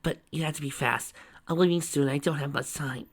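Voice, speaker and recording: A man playing an anxious, hurried young woman on a call in a story. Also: on the high side, fairly even intonation, voice pushed up.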